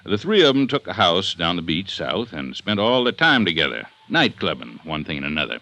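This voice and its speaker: Assertive prosecutor, bright forceful voice, swooping emphasis, vintage radio drama, deliberate phrasing. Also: male voice, low voice, very animated, no room sound, heavily acted. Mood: matter-of-fact. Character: assertive Southern district attorney building a murder case. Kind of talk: old-time radio detective drama acting.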